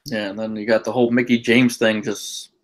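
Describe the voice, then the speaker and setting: A man's voice: on the low side, little pitch movement, a little fast. A man co-hosting a podcast, chatting casually and giving commentary. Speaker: wrestling podcast co-host.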